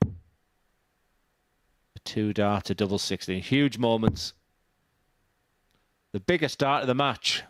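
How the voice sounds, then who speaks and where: A man's voice: on the low side, takes it slowly, pauses often, a bit bright, no room sound. A man with a Dutch accent giving live darts commentary in a plain-spoken, analytical way.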